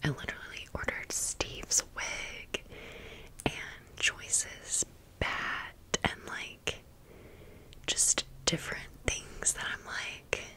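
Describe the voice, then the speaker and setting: A woman doing casual, chatty ASMR storytelling in a soft, breathy, unvoiced whisper. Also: takes it slowly, poor audio. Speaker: ASMR video creator.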